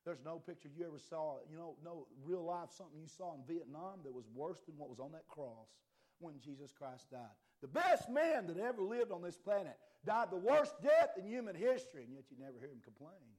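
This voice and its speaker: A man preaching a fiery sermon, impassioned and swinging between quiet and loud, his voice a little worn. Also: a little high, lively intonation, a little fast.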